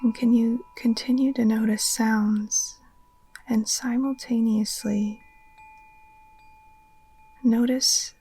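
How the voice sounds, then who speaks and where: A woman gently guiding a relaxation meditation in a soft, breathy, near-whispered voice, soothing and unhurried. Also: on the high side, flat delivery. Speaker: yoga nidra teacher. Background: occasional chimes.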